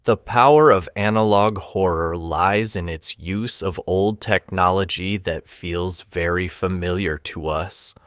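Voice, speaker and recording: A man narrating a documentary from a script, with a measured, ominous delivery. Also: sits low, fairly bright tone, dry recording, clean studio sound.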